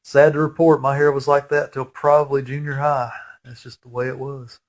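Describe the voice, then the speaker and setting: A man's voice: medium pitch, flat delivery, moderate pace. A man in his forties with a nasal head cold, giving a casual, rambling fan commentary over a movie with geeky enthusiasm.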